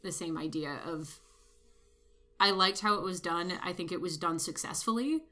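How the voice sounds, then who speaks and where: Woman with a bright crisp voice talking through a movie, thoughtful, kinda measured. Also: on the low side. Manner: measured, thoughtful, weighs both sides. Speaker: film review podcast co-host. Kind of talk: casual movie discussion.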